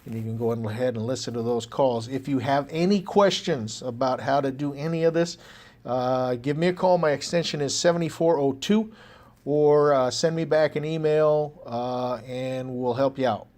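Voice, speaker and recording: A man with a medium-pitched voice narrates an informal screen tutorial. He explains things like a workplace support trainer, in a conversational way. His delivery is halting and peppered with hesitation sounds. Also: darkish tone. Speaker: software trainer, company support staffer.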